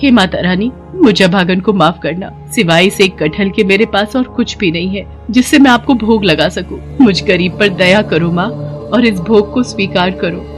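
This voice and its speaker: A woman voicing a pious, sharp-tongued village wife and mother in a dramatized moral story, emotional in her delivery. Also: medium pitch, moderate pace, faint music behind, lightly acted. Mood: pleading.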